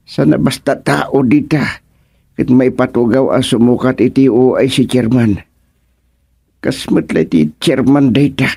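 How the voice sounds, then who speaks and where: A man's voice: medium pitch, measured pace, creaky, warm tone, slightly rough. An actor playing an ailing, anxious village councilman in a Filipino radio comedy drama, with a Filipino accent and a flustered manner. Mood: grumbling, scheming.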